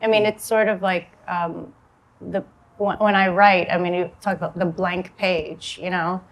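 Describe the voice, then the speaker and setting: A woman in her forties from the United States, a novelist thinking aloud in a relaxed, reflective way and filling her talk with "like" and "you know". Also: lowish voice, a little slow, poor audio. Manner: reflective, loose with many likes and you knows. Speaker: novelist in a panel conversation. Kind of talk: casual conversation.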